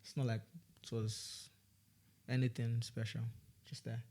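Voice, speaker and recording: A man's voice: medium pitch, level tone, measured pace, dark tone. A young man from Nigeria with a Nigerian accent, a student guest on a podcast, talking casually and rambling with many restarts. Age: young adult. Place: podcast studio.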